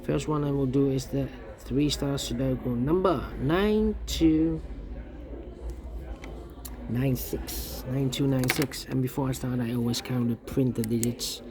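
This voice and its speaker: Male tutorial presenter: relaxed, creaky voice of average pitch, methodical step-by-step delivery with muttered repetition. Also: a little slow, crowd noise. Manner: repetitive, methodical, muttering to himself while working. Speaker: puzzle instructor. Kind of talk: step-by-step Sudoku tutorial commentary, thinking aloud.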